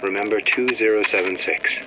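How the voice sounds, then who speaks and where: A man's voice: lowish voice, fairly even intonation, normal speed, noisy background, close to an everyday voice. Man playing a sardonic, disillusioned shopkeeper. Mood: bitter, wry. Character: disillusioned, grumbling shop owner keeping an audio diary.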